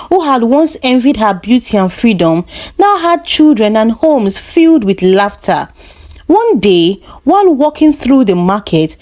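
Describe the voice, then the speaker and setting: A woman reads a scripted folktale as a storyteller, with a measured, expressive storybook delivery. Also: fairly high pitch. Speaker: storyteller, narrator.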